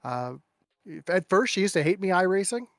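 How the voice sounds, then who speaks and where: A man's voice: high voice, sing-song, moderate pace, no room sound, soft background music. A man chatting as a guest on a casual podcast, telling stories and mimicking people in raised voices.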